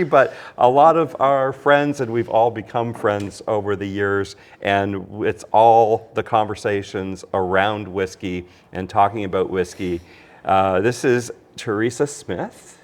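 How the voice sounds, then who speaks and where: A man's voice: mid-range voice, a little slow. Chatty male show host. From Canada. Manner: chatty, introduces guests. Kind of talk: casual chat. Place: indoors at a teaching academy.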